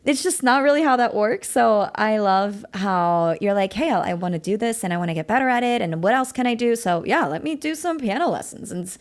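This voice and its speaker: A young woman who speaks English as a second language after German, hosting a casual interview about songwriting in a chatty, animated way. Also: mid-range voice, brisk, hardly any pauses. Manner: chatty, animated, often laughing. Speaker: podcast host.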